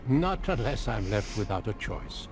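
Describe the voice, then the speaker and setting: An actor playing a calm, confident veteran warrior in a fantasy video game, speaking with measured, formal courtesy. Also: male voice, fairly low pitch, over music. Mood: composed, assured.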